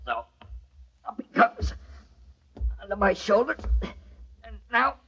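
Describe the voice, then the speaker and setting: A husky, desperate radio-thriller schemer. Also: male voice, sits high, wildly swooping pitch, slow pace, sharp tone, exaggerated voice. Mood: grimly controlled. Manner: urgent, bossy, clipped orders. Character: desperate, commanding male lover covering up a killing. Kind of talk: old-time radio thriller acting. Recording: dull, lo-fi old radio recording.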